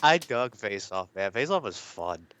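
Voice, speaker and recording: A man's voice: fairly low pitch, big pitch swings, measured pace, fairly bright tone, muffled recording, slight background noise. A man co-hosting a casual podcast about films, hesitant and repeating himself as he thinks aloud. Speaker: podcast co-host.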